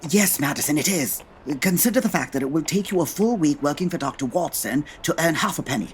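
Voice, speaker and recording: An actor playing a brisk, commanding male English detective, formal and articulate, in a comedy audio drama. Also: mid-range voice, expressive, slight background noise, lightly acted. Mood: exasperated.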